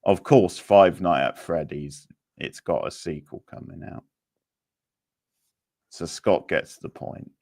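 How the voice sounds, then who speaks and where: A man casually hosting a live quiz, reading out questions, options and scores in a halting way. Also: low-pitched, vocal fry, a little mellow, dry recording, clean studio sound. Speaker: quiz host.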